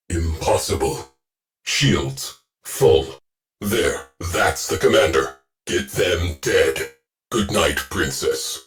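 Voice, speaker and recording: An actor playing a grave male ship AI who gives clipped, measured military reports in a video game. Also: low voice. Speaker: ship's command AI.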